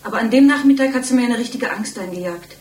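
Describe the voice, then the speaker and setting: An actress playing an uneasy, chatty witness in a 1960s German radio play, recounting anxiously in a colloquial way, in an echoey, distant recording. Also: female voice, a little high, fast talker, darkish tone, natural voice. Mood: uneasy, agitated. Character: uneasy, chatty ordinary woman giving a witness account.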